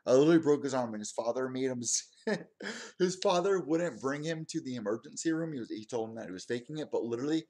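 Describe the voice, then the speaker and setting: A young man in his twenties runs a solo stream, chatting straight to his audience. He rambles excitably from one aside to the next and keeps circling back to his point. His voice sits at an ordinary middle pitch for a man. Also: fast. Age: 20s. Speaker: podcast host. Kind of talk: casual solo stream commentary.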